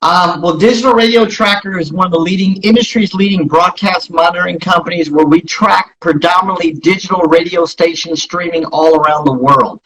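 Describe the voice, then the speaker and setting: A man with a notably high voice and a husky edge speaks as a guest in an interview. He explains his business earnestly and emphatically, in a promotional way, driving key points home. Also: brisk, hardly any pauses, a bit bright, low-quality recording. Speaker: interview guest, company founder.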